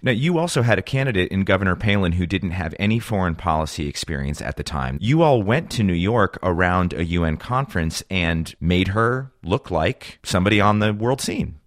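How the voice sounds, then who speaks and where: Man, American accent, radio interviewer, deep voice, dry studio-style sound, broadcast questioning. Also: expressive, a little fast, hardly any pauses, dry recording. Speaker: radio interviewer, political correspondent.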